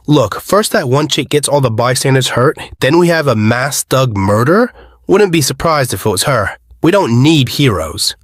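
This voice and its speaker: A man's voice: medium pitch, animated, moderate pace, no room sound, studio quality, close to an everyday voice. A man playing a disgruntled, suspicious civilian in an audio drama, blunt and colloquial.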